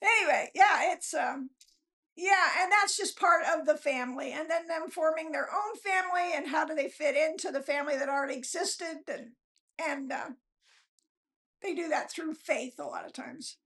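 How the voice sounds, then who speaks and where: Female author guest, very high pitch, unpolished, self-deprecating, conversational storyteller. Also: normal speed, a bit bright. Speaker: author being interviewed, guest. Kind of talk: casual, rambling storytelling chat.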